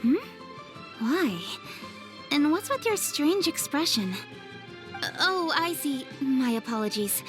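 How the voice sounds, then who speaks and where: A woman's voice: sits high, animated, slow, long pauses. A woman playing a polite, dutiful young noblewoman official in a video game, formal, courteous and earnest. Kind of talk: scripted video game cutscene acting. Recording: music behind the voice. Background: background music.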